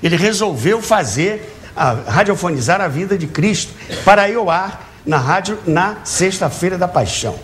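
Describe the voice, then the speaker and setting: Animated older man, high-pitched. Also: normal speed, slight background noise. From Brazil, Rio de Janeiro. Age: early 70s. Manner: eager, repeats words, uses rhetorical questions. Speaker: talk show guest, author of a book on radio theatre. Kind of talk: animated anecdotal storytelling in an interview. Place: TV talk show studio with audience.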